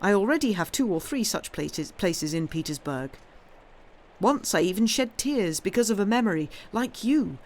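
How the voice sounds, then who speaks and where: A reader voicing a lonely, timid young man in an audiobook, impulsive and effusive, with a halting, pleading delivery. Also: sits high, lively intonation. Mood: earnest, effusive. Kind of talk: dramatised audiobook reading.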